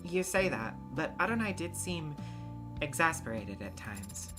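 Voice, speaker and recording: Woman voicing a low-pitched, earnest android investigator. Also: very animated, a little slow, music in the background. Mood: earnest, measured. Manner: reserved, formal, matter-of-fact. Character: polite, earnest, literal-minded young android investigator. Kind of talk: video game character voice acting.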